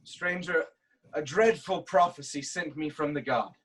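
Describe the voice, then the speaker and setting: A man's voice: on the high side, measured pace, a bit bright, husky, poor audio. An actor playing a proud, troubled king haunted by prophecy, in an intense, declamatory reading of Greek tragedy. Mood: fearful.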